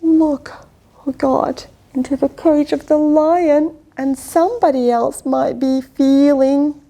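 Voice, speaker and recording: A woman reading a picture book aloud to children, expressive and swooping, with lots of exclamations. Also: sits high, takes it slowly. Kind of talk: read-aloud storytelling to children.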